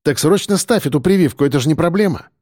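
A man's voice: fairly high pitch, animated, darkish tone, no echo, studio quality. A man playing a harried grown son in an audiobook story, insistent and impatient with his mother. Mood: hurried, evasive. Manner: hurried, breathy exhaling, insistent.

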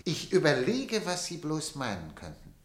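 A man's voice: on the high side, wildly swooping pitch, normal speed. A cynical newspaper boss in a radio drama, his voice swinging widely up and down. He is oily and evasive, theatrically playing the innocent while he schemes. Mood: evasive, wheedling. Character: cynical, wily male newspaper boss hungry for scandal. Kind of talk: German radio play acting.

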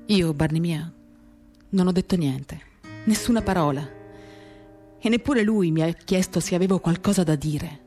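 A woman giving a dramatic, confiding first-person reading of literary prose, measured and intimate, in short reflective phrases. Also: fairly low pitch, warm tone. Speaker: reader of a novel for radio theatre. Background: acoustic guitar music.

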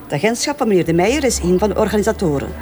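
An actress playing a snippy, officious Flemish hotel receptionist in a radio drama, speaking curtly. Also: female voice, mid-range voice, sing-song, racing, mellow, soft background music, close to an everyday voice. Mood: guarded, impatient. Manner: curt, officious. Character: brisk, snippy female hotel head receptionist. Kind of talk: naturalistic radio drama acting.

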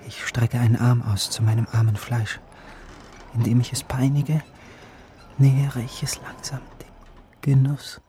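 An actor playing a yearning, brooding young shop assistant who narrates in an intimate, poetic radio drama monologue. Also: male voice, medium pitch, flat delivery, measured pace, mellow, soft background music, close to an everyday voice.

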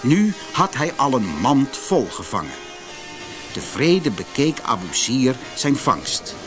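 A man reading a fairytale as an expressive audiobook narrator. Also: mid-range voice, takes it slowly, music in the background.

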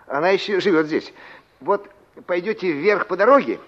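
A man's voice: high voice, expressive, normal speed, fairly bright tone. An actor playing a folksy, helpful village man in a radio play, with a resigned, tolerant way of talking. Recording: dull old radio drama recording.

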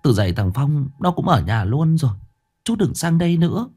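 Frightened village widow, put on by a male narrator, high and pleading, swooping pitch. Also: very animated, moderate pace, warm tone, slightly rough, heavily acted. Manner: pleading, shrill with shame.

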